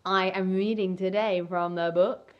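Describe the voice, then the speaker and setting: A woman's voice: medium pitch, steady tone, a little slow, lo-fi sound. A middle-aged woman reading a children's storybook as an entertainer, with a playful, exaggerated, theatrical delivery. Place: small indoor set with fabric backdrop.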